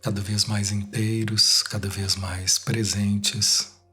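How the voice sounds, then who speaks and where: A Brazilian man leads a guided meditation in a low, slightly husky voice. He speaks very slowly, in short phrases separated by long pauses. Soft music plays behind him. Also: flat delivery.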